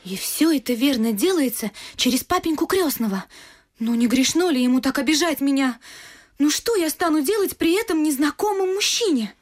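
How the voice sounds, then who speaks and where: A sheltered young lady of the gentry in a theatrical radio comedy. Her voice sits very high and girlish, and she speaks in nervous, willful exclamations with plenty of drama. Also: lively intonation, normal speed. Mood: indignant.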